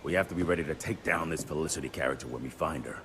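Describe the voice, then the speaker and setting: An actor playing a rough, seasoned frontier agent in a video game, plain-spoken, folksy and salty. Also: male voice, rumbling low, rapid-fire, background noise. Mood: concerned, resolute. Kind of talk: video game cutscene acting. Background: music.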